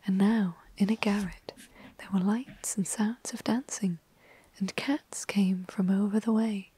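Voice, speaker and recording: A woman telling a classic storybook tale in a soft, unhurried ASMR whisper. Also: medium pitch, fairly even intonation, breathy edge, poor audio.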